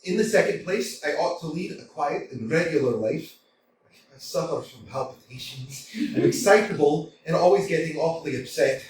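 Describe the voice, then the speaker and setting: A man's voice: fairly high pitch, expressive, moderate pace, darkish tone, a little hiss or hum, lightly acted. A man playing a flustered, stammering thirty-five-year-old suitor who worries about his health, in a staged play reading recorded from a distance in a roomy hall. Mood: anxious. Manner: stammering, flustered, nervous laughs. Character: nervous anxious 35-year-old suitor, hypochondriac.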